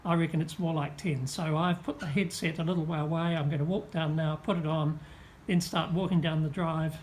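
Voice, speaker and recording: A man's voice: on the high side, fairly even intonation, brisk, darkish tone. A man giving a casual, methodical product demonstration in plain words. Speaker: product reviewer. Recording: echoey with clear background noise.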